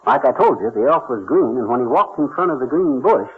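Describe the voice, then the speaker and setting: A man's voice: medium pitch, expressive, a little fast, slightly rough. A man playing a folksy, blustery know-it-all husband in an old radio comedy, explaining things in homespun, ungrammatical talk. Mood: storytelling. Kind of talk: 1940s-50s radio comedy acting. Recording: dull old radio recording, faint noise.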